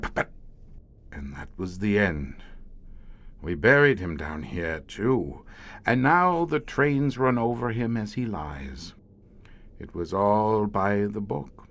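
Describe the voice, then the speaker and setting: An actor playing a weary, grim professor who commands a secret police squad, speaking formally and hesitantly in an audio horror drama. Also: male voice, mid-range voice. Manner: measured, hesitant, formal, darkly matter-of-fact.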